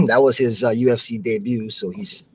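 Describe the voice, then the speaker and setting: A man's voice: mid-range voice, moderate pace, a little mellow, breathy edge. A man from the United States co-hosting a live sports show with informal, slangy, conversational talk. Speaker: radio co-host. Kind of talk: casual live sports chat.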